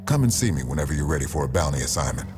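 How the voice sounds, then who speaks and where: A man playing a solemn, weary war leader in a video game, speaking gravely in measured, formal phrases. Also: rumbling low, very animated, noisy background. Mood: resolute. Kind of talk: video game dramatic acting. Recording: game music behind the voice.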